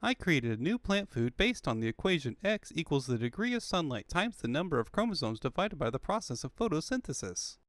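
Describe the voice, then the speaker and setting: A man's voice: on the high side, very animated, a little fast, few pauses, a bit bright, dry recording. A man voicing a small, pompous genius fox boy with wordy, pedantic speech for a comic fandub. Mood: smug. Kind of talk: comic fandub character acting.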